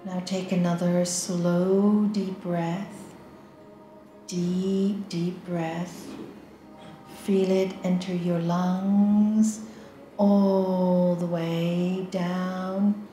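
A woman leading a guided meditation, giving slow, soft, calm instructions with long pauses. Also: on the low side, roomy sound, faint music behind.

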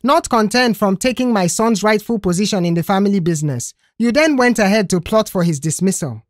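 Woman doing an angry mum in an audio story, shrill and high, out for a fight. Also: lively intonation, brisk, no echo, studio quality, close to an everyday voice. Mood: accusing. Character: shrill, combative, aggrieved mother.

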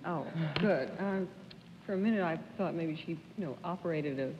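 A woman's voice: lowish voice, moderate pace, very noisy. A woman on a quiz-show panel asking probing questions in a television studio. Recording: dull lo-fi 1950s broadcast with hall reverb.

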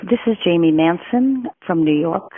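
A woman's voice: on the low side, expressive, moderate pace, phone-quality sound. A woman journalist putting a prepared question to a podcast. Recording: thin radio-like phone sound.

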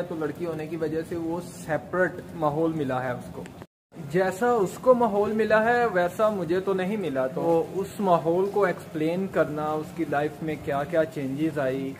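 Young man vlogging over clear background noise. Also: on the high side. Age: 20s. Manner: explanatory, code-switching with English words. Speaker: vlog host. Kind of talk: casual vlog talk to camera. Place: open public area at night. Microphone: phone at arm's length. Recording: noisy phone recording.

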